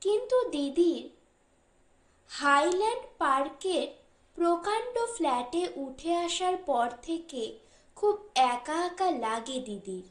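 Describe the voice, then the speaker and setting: A woman reads a short story as an audiobook narrator, in a measured, deliberate way with pauses between phrases. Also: high voice, lively intonation, roomy sound.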